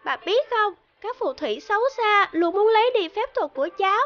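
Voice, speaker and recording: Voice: extremely high pitch, animated, fairly quick, highs cut off. An actor voicing a cheerful, imaginative little girl playing a princess in a cartoon, polite and eager as she tells her tales. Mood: earnest, proud. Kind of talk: animated cartoon dialogue.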